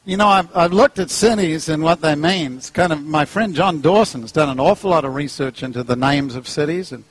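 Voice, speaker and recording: A middle-aged man with a New Zealand accent preaching an animated, humorous sermon, jumping into playful voices. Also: fairly quick, slightly rough, poor audio. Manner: jokey, acts out characters, pitch leaps high.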